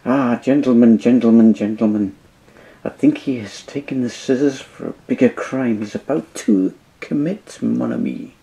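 A man's voice: mid-range voice, moderate pace, vocal fry, dark tone, lightly acted, poor audio. A man voicing a fussy, proud male Belgian detective with a pompous, affected French-style accent, in a told story. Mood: earnest. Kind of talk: storytelling with voices.

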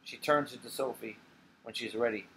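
A man's voice: medium pitch, moderate pace, vocal fry, bright, forceful tone, a little hiss or hum, low-quality recording. A man reading the stage directions of a play as its author, in a scripted narration. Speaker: playwright reading own play.

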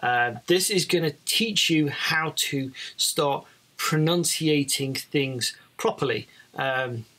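British guy with a slightly high voice explaining an app, casual how-to style. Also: slow pace, pushed and bright, low-quality recording. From Britain. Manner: informal, explanatory. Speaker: flight training presenter.

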